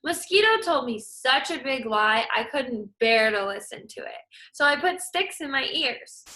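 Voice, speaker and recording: A woman's voice: lively intonation, a little slow, sharp tone, exaggerated voice. A woman voicing an innocent, flustered male iguana who protests his innocence. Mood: protesting. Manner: protesting, high-pitched.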